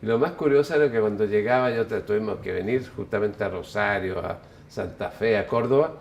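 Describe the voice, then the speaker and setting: A man's voice: on the low side, lo-fi sound. Older man telling his life unhurriedly. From Chile. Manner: unhurried, musing, self-correcting. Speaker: interviewee. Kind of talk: relaxed reflective storytelling.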